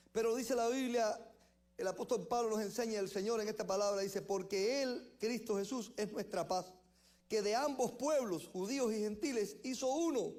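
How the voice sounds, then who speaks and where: A man from Cuba with a Cuban accent, preaching a sermon as pastor, emphatic, slowing down to stress phrases and correcting himself as he goes. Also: sits high, a little mellow.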